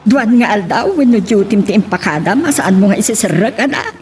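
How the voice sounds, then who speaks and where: A woman playing a kindly, gently inquisitive mother in an Ilocano drama. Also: mid-range voice, lively intonation, fairly quick, close to an everyday voice. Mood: caring. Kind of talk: Ilocano radio-style drama acting.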